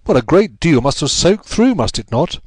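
A male narrator puts on a smug police inspector in a storybook reading. He is self-important and taunting, with lively swings of pitch, recorded close and dry. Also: normal speed, slightly rough, no room sound. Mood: gleeful, teasing. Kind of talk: audiobook character voice.

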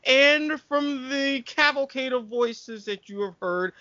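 A man's voice: very high-pitched, takes it slowly, pushed and bright, no room sound, highs cut off. A man hosting a comedic podcast with casual banter and a stammering, theatrical showman's delivery. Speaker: podcast host.

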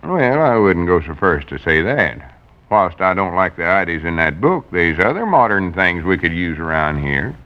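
Grumbling, low-voiced Southern country storekeeper. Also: male voice, big pitch swings, moderate pace. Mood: grumbling, opinionated. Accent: rural Southern US. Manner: homespun dialect, grumbling. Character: grumbling country storekeeper man, stubborn, folksy, self-important. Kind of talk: 1940s radio comedy acting. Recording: dull vintage 1940s radio recording.

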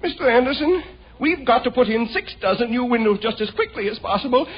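An actor playing an anxious, effusively grateful greenhouse owner with an American accent, earnest and urgent, in a 1950s radio sitcom. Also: male voice, very high-pitched, fairly quick, breathy edge. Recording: noisy, dull old radio broadcast.

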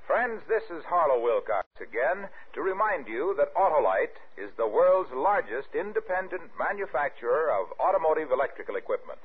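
A man's voice: fairly high pitch, very animated, moderate pace, few pauses, slightly rough. An American man reading scripted sponsor messages and credits as a 1950s radio announcer. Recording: narrow-band vintage radio broadcast.

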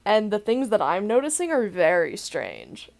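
A young woman with a deep voice, hosting a podcast in a candid, rambling way and laughing now and then mid-sentence. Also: huge pitch swings, moderate pace, vocal fry, darkish tone. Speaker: podcast host. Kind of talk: casual podcast chat.

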